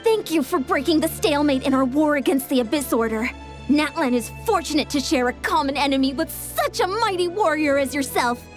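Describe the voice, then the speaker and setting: An actress playing an earnest young female warrior officer in a video game, speaking in a formal, measured, courteous way. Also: sits high, soft background music, close to an everyday voice. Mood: respectful.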